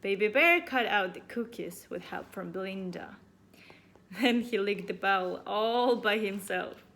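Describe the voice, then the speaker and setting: A woman's voice: mid-range voice, measured pace, a bit bright. A woman teacher reading a children's storybook aloud expressively to young pupils. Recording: faint background noise.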